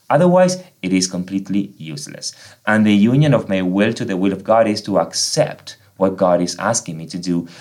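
A man's voice: fairly low pitch, a little mellow. A man from Argentina with an Argentine Spanish accent, a priest and native Spanish speaker, explaining faith earnestly in a podcast conversation.

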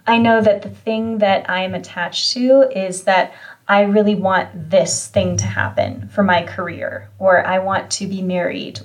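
A woman hosting a podcast interview, asking thoughtful questions in a conversational way. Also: medium pitch. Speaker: podcast host.

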